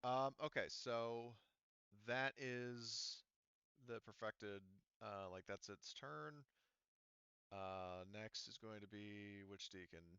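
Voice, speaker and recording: Male game master, bright forceful voice in a dry space; casual, halting, salty-tongued delivery for tabletop play. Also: lowish voice, clean tone, dry recording, muffled recording. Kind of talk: improvised narration and rules talk in an online tabletop roleplaying game.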